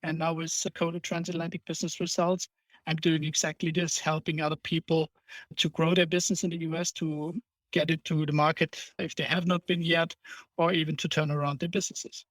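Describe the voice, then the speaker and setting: A man's voice: fairly high pitch, flat delivery, a little fast, a bit bright, dry recording, low-quality recording. German-accented middle-aged man, dry sound. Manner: earnest, explanatory, with small restarts. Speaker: podcast guest, business consultant. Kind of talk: conversational interview answers.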